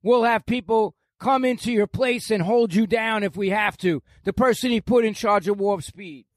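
A very high-pitched man in his sixties rants loudly, cursing constantly as a podcast guest. His voice is dry and close, with no room around it. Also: moderate pace, no echo. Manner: loud, profane, ranting. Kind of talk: heated podcast conversation.

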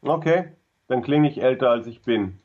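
Dry laconic 30s guy, mid pitch, muffled lo-fi call sound. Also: moderate pace. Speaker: guest. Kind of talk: casual interview over video call. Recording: dull lo-fi call audio.

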